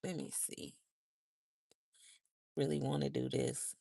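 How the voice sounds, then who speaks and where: A hoarse woman, a motivational speaker, telling a rambling family story on a casual livestream with chatty asides and self-corrections. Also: a bass voice, steady tone, takes it slowly, dark tone, no echo, studio quality. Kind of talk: casual live-stream storytelling.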